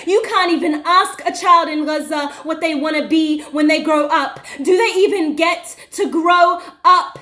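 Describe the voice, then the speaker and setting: A woman with a strikingly high voice recites spoken word poetry. Her delivery is impassioned and deliberate, pressing each line as a dramatic accusation. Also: bright, forceful tone. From Western Australia.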